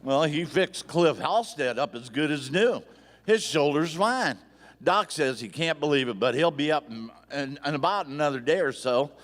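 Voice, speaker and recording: An actor playing a folksy Old West sheriff with an in a live radio play, stumbling over repeated words. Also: male voice, on the high side, animated, normal speed, fairly bright tone. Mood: eager, folksy. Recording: echoey theatre hall.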